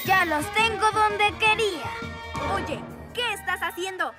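Small brave superhero kid, super high voice, eager, latin american spanish cartoon, music blaring. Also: female voice, a little slow. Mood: earnest, worried. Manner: eager, exclamatory. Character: earnest, plucky six-year-old boy superhero. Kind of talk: children's animated cartoon dub.

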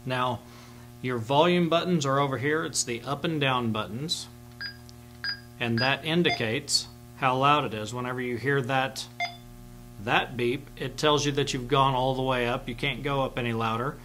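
A man's voice: medium pitch, moderate pace, sharp tone, soft background music, poor audio. A middle-aged man giving an informal, step-by-step instructional demonstration in a plain-spoken way. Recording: clear background hum and noise.

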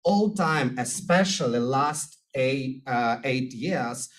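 A middle-aged man from Ukraine with a Ukrainian accent, speaking English as a second language at a podium, halting and searching for words with many fillers. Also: medium pitch. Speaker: guest speaker. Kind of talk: speech at a podium. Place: lecture room with audience. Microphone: podium microphone.

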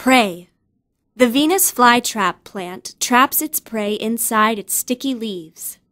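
A woman reading scripted educational vocabulary narration with careful, clear enunciation. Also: on the high side, animated, slow pace, a bit bright, no echo.

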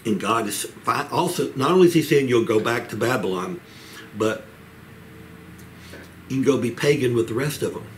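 Measured older man preaching, noisy recording. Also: medium pitch, lively intonation. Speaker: pastor leading a Bible study. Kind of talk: Bible teaching, expository sermon. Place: small home office, indoors. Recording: clear background room noise.

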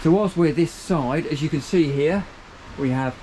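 A man's voice: a little high, moderate pace, warm tone, slight background noise, rough recording. A man, giving an upbeat walkthrough tour of a small motor boat cabin, filmed on a handheld camera. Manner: chatty, upbeat, pointing out features as he moves. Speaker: boat reviewer.

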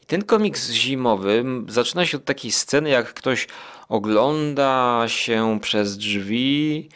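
A man's voice: medium pitch, slow, fairly bright tone. A man hosting a podcast, reviewing things in a casual, hesitant and digressive way. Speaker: podcast host.

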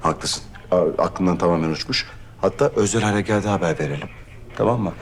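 An actor playing a sarcastic young police commissioner in a TV drama, teasing and deadpan. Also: male voice, deep voice, brisk, slight background noise. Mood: dry. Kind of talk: TV series acting. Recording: music score under dialogue.

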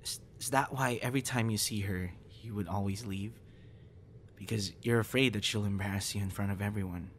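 A young man performing an intimate audio roleplay as a troubled guy confiding in a close friend after a breakup, halting and stammering. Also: on the low side, close to an everyday voice. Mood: upset, incredulous. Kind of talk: intimate ASMR boyfriend roleplay, acted monologue. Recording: noticeable background noise.

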